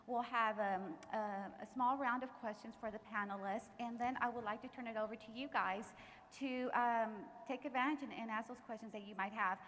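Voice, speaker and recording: A woman's voice: a little high, a bit bright. A woman moderating a live panel, upbeat and encouraging, with a few restarts. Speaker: event moderator, recruiter. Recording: reverberant hall with faint room noise.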